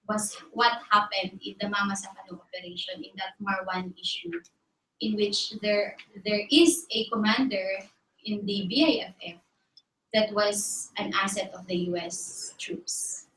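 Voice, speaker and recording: A woman from the Philippines, giving an explanatory talk as a guest speaker in a measured way, pausing often on fillers. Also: medium pitch. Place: university classroom. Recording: echoey room, distant mic.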